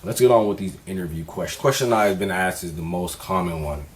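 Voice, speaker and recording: A man in his twenties vlogging casually, candid and relaxed, with plenty of fillers as he talks through his experiences. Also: sits low, fairly quick. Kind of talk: casual vlog advice.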